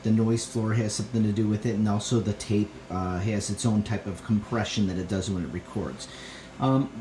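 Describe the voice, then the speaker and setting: A man talks through a casual home show-and-tell, recorded on a handheld phone. He has a medium pitch and speaks with enthusiasm, though he rambles and halts as he finds his words. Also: darkish tone, slight background noise, low-quality recording. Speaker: vlogger, tape collector. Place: indoor home room. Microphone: handheld phone near the speaker.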